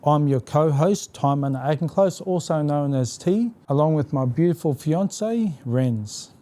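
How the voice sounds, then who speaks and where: A man's voice: fairly high pitch, expressive, unhurried, dark tone. A man speaking as a podcast co-host, introducing the show and chatting conversationally with his guest.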